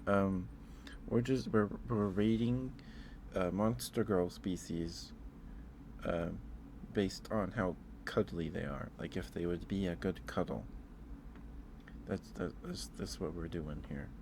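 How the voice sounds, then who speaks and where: A man's voice: sits low, slow pace, background noise. A man chatting casually with livestream viewers as host, musing aloud in an informal, hesitant way.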